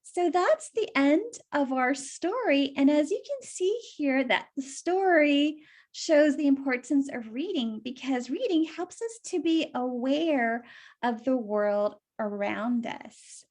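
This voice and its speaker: A woman's voice: high voice, animated, slow pace, darkish tone. A woman reading a children's story aloud and explaining it simply, as if to young listeners.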